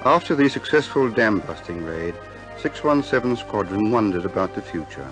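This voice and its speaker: A man's voice: fairly low pitch, animated, moderate pace, over music, natural voice, rough recording. A man narrating a scripted radio war drama as its composed author.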